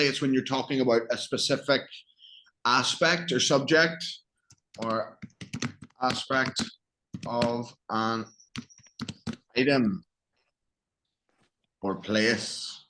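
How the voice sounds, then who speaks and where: Slow, patient Northern Irish man. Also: medium pitch, fairly even intonation, bright, forceful tone, a little hiss or hum, rough recording. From Northern Ireland. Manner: patient, explains slowly with examples and questions. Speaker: English teacher. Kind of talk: one-on-one English lesson.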